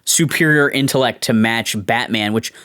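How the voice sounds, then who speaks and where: A man's voice: mid-range voice, normal speed, a bit bright, clean tone, no echo. Laid-back guy hosting a podcast, mid voice, dry sound. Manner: easygoing, thinks aloud with fillers and restarts. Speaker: podcast host. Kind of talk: casual chat about toys and cartoons.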